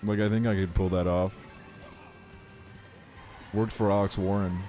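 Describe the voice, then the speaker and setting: A man with a fairly low-pitched voice chats casually as he livestreams a game. His talk is slangy and full of swearing. Soft music plays behind him. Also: flat delivery, measured pace. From Estonia. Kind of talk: casual chat while streaming a video game.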